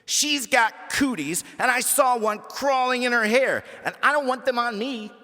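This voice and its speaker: Man putting on a high-pitched brash schoolgirl bully. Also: unhurried, in a large hall, full character voice. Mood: indignant. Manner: loud, indignant, blurting. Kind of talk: storybook character voice.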